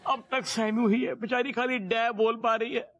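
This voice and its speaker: A man's voice: very high-pitched, fairly even intonation, normal speed, a bit bright, muffled recording, a little hiss or hum. A man voicing a doting, emotional old father who talks in a melodramatic, pleading way.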